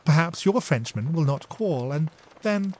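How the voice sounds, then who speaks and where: Man doing a young elder sister, dignified, warm-hearted, teasing, high voice, lively melody. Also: a little slow. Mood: reasoning.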